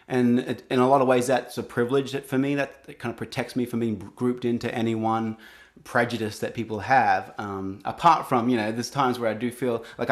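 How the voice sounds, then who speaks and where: A young adult man with an Australian accent, speaking earnestly in an interview, often restarting his sentences. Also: mid-range voice. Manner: earnest, halting, many restarts. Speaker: guest, singer-songwriter. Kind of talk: reflective interview talk.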